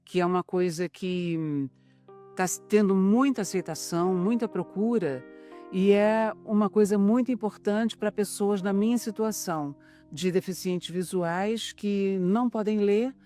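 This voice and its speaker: A Brazilian man in his seventies giving an interview testimonial in a halting way, pausing to search for words. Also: sits high, mellow, faint music behind. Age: 70s.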